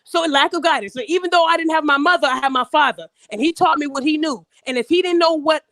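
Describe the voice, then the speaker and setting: A woman getting over a cold talks fast and bluntly, pressing her points with emphatic, profane opinions. Her voice sits high and quick, recorded dry and close with no room around it. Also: a bit bright, no room sound. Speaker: podcast co-host. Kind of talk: opinionated live podcast talk.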